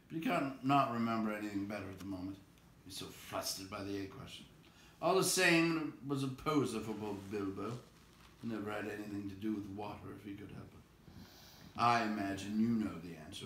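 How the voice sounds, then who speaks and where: A man narrating a storybook aloud in an expressive read-aloud style. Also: medium pitch. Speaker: storybook reader. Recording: echoey room with faint background noise.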